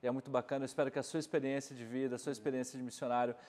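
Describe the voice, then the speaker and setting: A man's voice: medium pitch, flat delivery, rapid-fire, darkish tone. A man in his thirties with a Brazilian accent, interviewing conversationally as a TV host in a studio with a reverberant sound. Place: TV studio, seated. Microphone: lapel mic.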